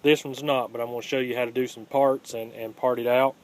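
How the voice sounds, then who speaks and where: A man giving a casual, unscripted hands-on how-to in a plainspoken, halting way, recorded on a handheld phone. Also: medium pitch, level tone. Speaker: host of a scrapping how-to channel. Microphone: handheld phone, filming one-handed. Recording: home phone video with faint background noise.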